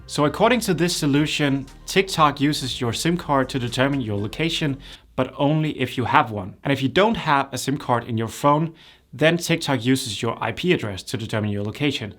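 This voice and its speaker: A young man speaking English, his voice sitting at an ordinary middle pitch. He explains things plainly and step by step, like a creator running a how-to video for his channel. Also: fairly bright tone. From Denmark. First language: Danish. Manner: plain, step-by-step instructional delivery. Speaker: YouTube creator. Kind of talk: tutorial explainer.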